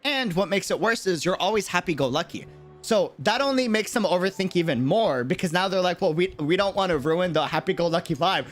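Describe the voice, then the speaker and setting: A man from New York City with an American accent, chatting casually on a game livestream in a rambling, slangy, animated way. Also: high voice, a little fast, soft background music. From New York City, United States. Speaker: game streamer. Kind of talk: casual livestream chat and reactions.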